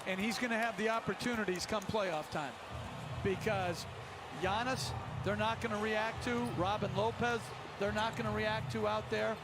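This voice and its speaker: A man's voice: high voice, steady tone, normal speed. A man giving analysis during a basketball game broadcast. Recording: very noisy arena broadcast audio.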